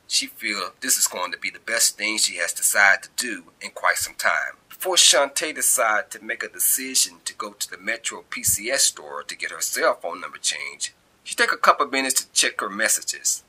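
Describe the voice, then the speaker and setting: Bright-voiced man reading a story, lively pitch. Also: normal speed, breathy edge, poor audio. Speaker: amateur author reading own story. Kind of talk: scripted story narration.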